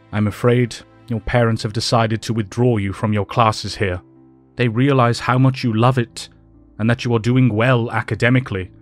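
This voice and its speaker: A man playing a grave, principled headmaster in an audio drama, formal and measured. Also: mid-range voice, natural voice.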